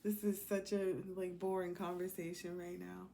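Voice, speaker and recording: A woman's voice: lowish voice, flat delivery, moderate pace, creaky, dark tone, a little hiss or hum. An American woman giving a casual, rambling tarot card reading in a blunt, sassy, colloquial way.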